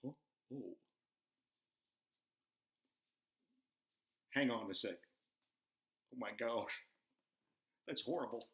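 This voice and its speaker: A man voicing an excitable, folksy, bumbling buzzard who hosts a children's show, rambling and repeating himself as he chats. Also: mid-range voice, measured pace, echoey room. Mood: flustered. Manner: folksy, repetitive, easily flustered, giggly exclamations. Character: excitable, folksy, bumbling old farm buzzard puppet host.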